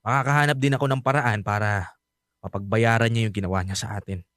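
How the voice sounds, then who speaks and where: A man's voice: lowish voice, fairly even intonation, fast talker, a bit bright, no room sound, clean studio sound, lightly acted. An actor playing a bitter, resentful young adult son, protective of his mother, speaking with heated emphasis in a radio drama.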